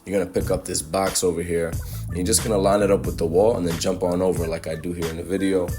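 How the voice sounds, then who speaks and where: A young man with a very low voice talks through a game run in a relaxed, chatty way, with plenty of ums and uhs. His voice is a little hoarse from a cold. Loud game music plays clearly behind him. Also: fast talker. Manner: laid-back, chatty, with many fillers. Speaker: gaming guide creator. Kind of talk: casual game walkthrough commentary.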